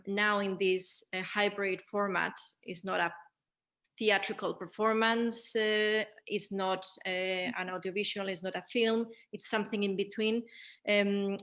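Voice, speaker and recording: A female moderator speaks in an unscripted panel discussion. Her voice is mid-pitched, and she feels her way through long sentences with careful, halting phrasing. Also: fairly bright tone.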